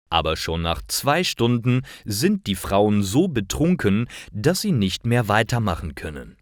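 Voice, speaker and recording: A man reading simple stories for language learners as a scripted narration, in careful, clearly separated short phrases. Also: lowish voice, no echo, studio quality.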